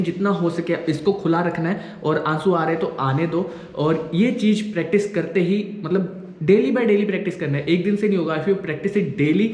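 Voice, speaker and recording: A man's voice: high-pitched, a little mellow. A young man with an Indian accent giving informal instructional tips in a casual vlog, switching between two languages. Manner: informal, explanatory. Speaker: vlogger giving acting tips. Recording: echoey room with background noise.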